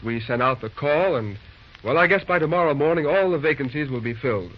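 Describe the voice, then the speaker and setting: An actor playing a polite, earnest young railroad superintendent in an old-time radio drama, speaking in a measured, deferential way. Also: male voice, fairly high pitch, animated. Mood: modest, explaining. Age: young adult. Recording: dull old radio recording.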